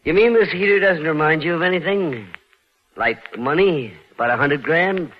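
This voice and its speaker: A man's voice: fairly high pitch, moderate pace, natural voice. An American actor playing a menacing gunman in a 1940s radio crime drama, taunting in tough-guy slang. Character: menacing male gunman shaking down a crook. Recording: muffled old radio broadcast.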